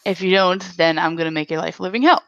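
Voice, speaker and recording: A woman's voice: fairly low pitch, brisk, a bit bright, no echo. A young woman chatting casually as a podcast guest, giving her opinions bluntly and emphatically. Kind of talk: casual chat. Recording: dull, lo-fi, close dry audio.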